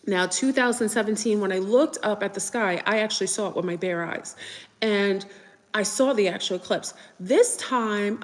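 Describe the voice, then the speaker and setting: A woman's voice: mid-range voice, long reverb tail. A woman whose first language is French, chatting casually and rambling with viewers on a livestream, full of fillers and restarts. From United States. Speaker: livestream host.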